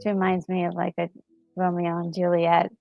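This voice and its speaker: A woman co-host giving casual reactions, halting as she searches for her words, on a slightly muffled recording. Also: on the low side, completely flat delivery, vocal fry, faint music behind. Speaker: gaming co-host.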